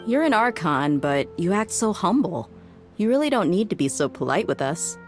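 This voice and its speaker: A woman's voice: lowish voice, very animated, moderate pace, soft background music. A woman voicing a frank, easygoing heroine in a video game, with an informal manner. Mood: friendly.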